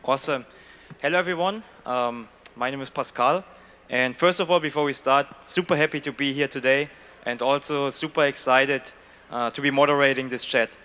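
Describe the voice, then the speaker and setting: A man's voice: mid-range voice, moderate pace, bright, forceful tone, smooth. A man with a German accent moderating a conference panel, upbeat and chatty with many fillers. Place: conference stage.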